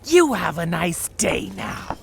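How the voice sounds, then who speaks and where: A scaly barroom thug with a heavily creaking, gravelly voice. The slangy wiseguy drawl is taunting and unhurried, swinging up and down as in a hardboiled detective radio play. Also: female voice, lowish voice, wildly swooping pitch, slight background noise, heavily acted. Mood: veiled menace. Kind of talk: noir audio drama acting.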